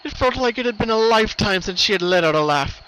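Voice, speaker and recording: A man's voice: high-pitched, animated, quick pace, sharp tone, clear, resonant tone. A man narrating a scripted story in an earnest, theatrical way. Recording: dull lo-fi recording, background noise. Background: noise.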